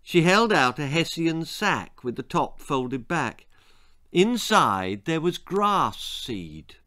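A British man in his sixties reading a children's story aloud with expressive, theatrical narration. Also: fairly high pitch, slow. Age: 60s. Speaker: author reading own story. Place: small book-lined room indoors.

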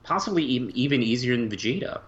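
A man co-hosting a podcast in a casual fan discussion, speaking haltingly and often repeating words as he goes. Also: animated, crowd noise. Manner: halting, trailing off mid-phrase and repeating words. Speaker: podcast co-host. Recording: muffled low-fi mic with faint hiss.

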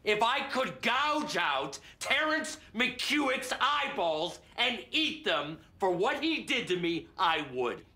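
A man's voice: medium pitch, animated, normal speed, fairly bright tone. A man acting in a comedy-drama as a combative, sarcastic American talent agent who rants profanely. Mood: bitter ranting. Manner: aggressive, sarcastic ranting. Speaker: actor in a comedy-drama series. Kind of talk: scripted screen acting.

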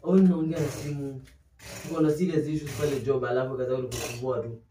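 A man's voice: mid-range voice, level tone, fast, warm tone. A man in his twenties with a Kenyan accent in a casual phone conversation, mixing Swahili and English. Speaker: boyfriend on a phone call. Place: living room. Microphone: camera across the room. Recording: distant echoey room with clear noise.

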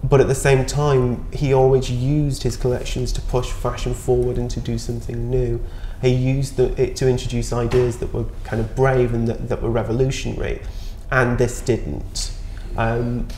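A man in his thirties talks as a fashion critic on a panel, his firm, deliberate judgments broken by small restarts. His voice sits at an ordinary middle pitch. The studio around him rings with echo and a faint hiss. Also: little pitch movement, darkish tone. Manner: measured, firm, self-critical, hesitant restarts. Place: bright studio set, roomy.

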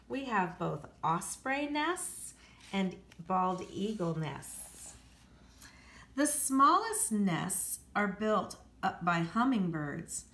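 American woman teacher, slow and lively. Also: medium pitch, roomy sound. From United States. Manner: explanatory, repeats and rephrases facts for children. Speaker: elementary school teacher. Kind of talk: read-aloud lesson for children.

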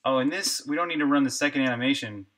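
A man's voice: medium pitch, fast, a bit bright. A man streaming his game development live, talking casually through his code and reacting to chat. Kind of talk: live coding stream, thinking aloud.

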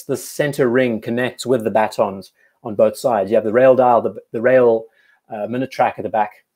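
A man's voice: mid-range voice, a little mellow. A man hosting a live watch stream, chatting casually and thinking aloud as he comments on viewers' photos, often restarting his sentences. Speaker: watch show host.

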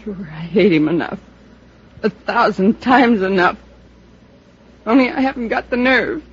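A woman's voice: mid-range voice, expressive, a little slow, husky. An actress playing a bitter, heartbroken, hard-drinking woman in old-time American radio drama. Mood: anguished. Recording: dull, noisy old radio transcription.

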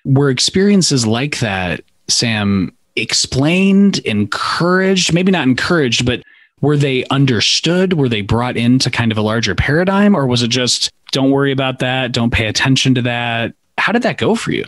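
A man's voice: medium pitch, lively intonation, moderate pace, a bit bright, no echo, studio quality. A man hosting a podcast, introducing his guest and asking playful, enthusiastic questions. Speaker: podcast host.

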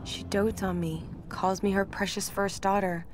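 An actress playing a troubled, dutiful young priestess of an ancient kingdom in a video game, speaking in a measured, formal way. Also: female voice, medium pitch, flat delivery, lightly acted. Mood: uneasy, fearful. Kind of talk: dramatic scripted game narration. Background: music.

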